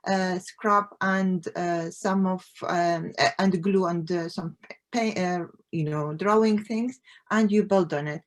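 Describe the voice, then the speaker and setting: A woman from Iraq whose first language is Arabic, giving a conference talk as an artist, pausing often with fillers. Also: mid-range voice. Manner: hesitant, many fillers.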